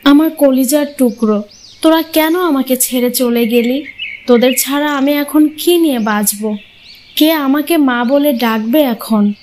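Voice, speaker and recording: Woman as a high-voiced, long-suffering young mother. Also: a little slow, darkish tone, a little hiss or hum. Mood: anguished. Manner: earnest, sorrowful. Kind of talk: Bengali cartoon fairy tale acting.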